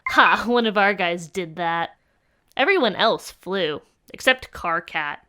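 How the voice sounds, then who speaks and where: A woman's voice: lowish voice, lively intonation, slow, sharp tone, exaggerated voice. Woman voicing alien troll girl, smug, teasing, condescending, audio drama prankster, faint background noise with clicks. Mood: smug, mocking. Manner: mocking, condescending.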